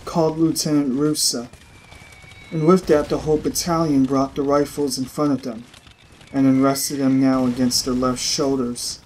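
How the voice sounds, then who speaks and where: A man reading fiction aloud as a narrator, in an expressive, dramatic storytelling style. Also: mid-range voice, normal speed, a little hiss or hum, low-quality recording. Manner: expressive, literary storytelling delivery.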